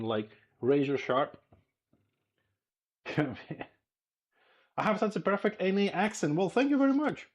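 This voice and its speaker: A Greek man with a slight lisp and a fairly high voice chats easily on a live stream while he cooks at home. His talk is loose and friendly, and he laughs a lot between thoughts. Greek is his first language, and his lisp shows in English. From Greece. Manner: easygoing and chatty, laughs often. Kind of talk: casual live-stream chat while cooking. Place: home kitchen.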